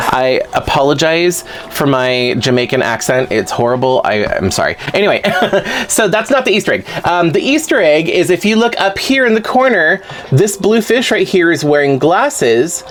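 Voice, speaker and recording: A man chatting casually to camera at home as he opens fan mail, excitable and rambling with little asides. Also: on the high side, normal speed. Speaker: vlogger opening fan mail. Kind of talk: casual unboxing chat.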